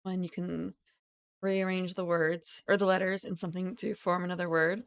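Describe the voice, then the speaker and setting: A woman streamer chatting casually with her viewers, rambling, giggling and correcting herself, her voice still croaky from just waking up. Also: on the low side, level tone, moderate pace. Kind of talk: casual live-stream chat.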